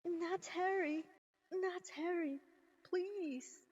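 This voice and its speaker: A woman's voice: extremely high pitch, very slow, heavily acted. A woman playing a desperate young mother in an audio drama, pleading breathily for her child. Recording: dull, faintly noisy drama recording.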